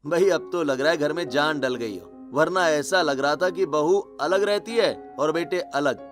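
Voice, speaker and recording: Man as contented male relative, cartoon story, approving, fairly high pitch, background music. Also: a little slow, close to an everyday voice. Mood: pleased. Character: contented male relative praising the reunited family.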